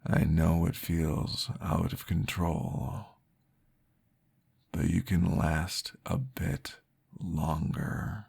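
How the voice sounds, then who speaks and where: A man voicing a calm, patient male vampire therapist, soft-spoken and reassuring, often dropping into a whisper in an ASMR roleplay. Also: low voice, very slow, full character voice. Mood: soothing whisper. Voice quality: gravelly, husky. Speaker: therapist guiding a listener.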